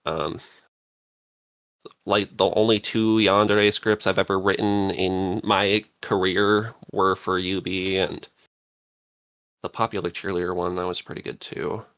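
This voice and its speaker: A man's voice: deep voice, flat delivery, a little slow, a bit bright, dry recording. A man chatting casually as a panelist, rambling and searching for words. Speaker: podcast panelist and scriptwriter. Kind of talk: casual chat.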